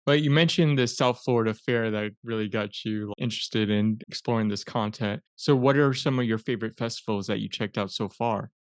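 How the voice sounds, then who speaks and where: A man's voice: lowish voice, fairly quick, hardly any pauses, smooth, no echo. A man hosting a podcast interview, asking friendly, admiring questions in a casual way. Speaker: podcast host.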